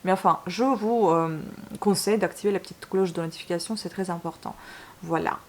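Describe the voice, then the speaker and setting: A woman's voice: on the low side, brisk, slight background noise. A woman from Ukraine speaking French with a Ukrainian accent as a book channel host, talking straight to her viewers, frank and a little exasperated, with many fillers and restarts. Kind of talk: casual vlog monologue to viewers.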